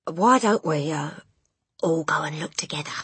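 A woman's voice: deep voice, normal speed, a bit bright, husky, dry recording. A woman doing a timid, nervous storybook father. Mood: hesitant, nervous. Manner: hesitant, nervous, reluctant.

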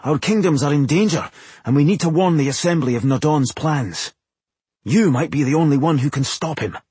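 A man voicing a stern, commanding dwarf warrior in a storybook, with a husky voice. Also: a little high, lively intonation, normal speed, darkish tone, dry recording, voice pushed up. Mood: firm, grave. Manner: stern, duty-minded.

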